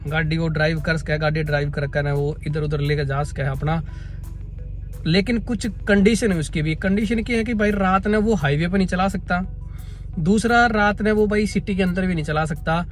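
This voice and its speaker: A young Haryanvi-accented man talks to his camera outside on a snowy day, a little hoarse from the cold weather. His voice sits somewhat high for a man, and his delivery is chatty and explanatory, often calling his viewers "brother." Faint music plays under the outdoor recording. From India. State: slightly hoarse throat. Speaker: vlogger. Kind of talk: casual vlog talk to camera.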